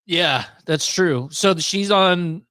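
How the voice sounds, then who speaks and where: A man's voice: high-pitched, slow pace, bright, forceful tone, no room sound. A man hosting a radio show in casual, unscripted conversation, reacting to his co-host and asking questions.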